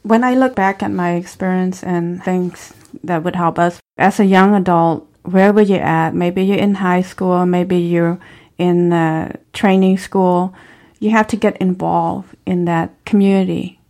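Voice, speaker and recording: Low-pitched woman with a Cambodian accent. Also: steady tone, a little slow, a little mellow. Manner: earnest, encouraging, with simple non-native grammar. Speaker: former refugee and speaker. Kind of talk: reflective interview answers.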